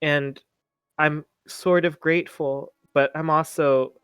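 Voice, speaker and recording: A haunted, polite teenage boy, played by a man in a tabletop game. He speaks slowly and haltingly, stammering in a fairly high, clear voice. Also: fairly even intonation, fairly bright tone, no echo, studio quality. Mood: pained, restrained. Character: timid, polite blind orphaned teenage boy, haunted survivor.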